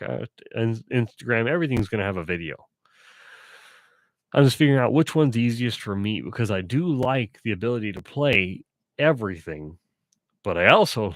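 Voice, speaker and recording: A man's voice: mid-range voice, no room sound. A man streaming live in casual chat, rambling and self-correcting, a bit stuffy and sore-voiced.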